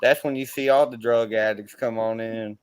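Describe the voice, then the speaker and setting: A man's voice: medium pitch, fairly even intonation, normal speed, clear, resonant tone, no room sound. A man chatting casually and bluntly as the host of a group stream, swearing freely. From Virginia, USA.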